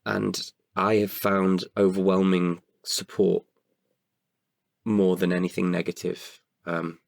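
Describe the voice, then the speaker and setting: A man with a creaky voice in a candid podcast conversation, speaking hesitantly and often correcting himself. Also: deep voice, little pitch movement, no echo. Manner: hesitant, halting with self-corrections. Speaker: writer-performer guest.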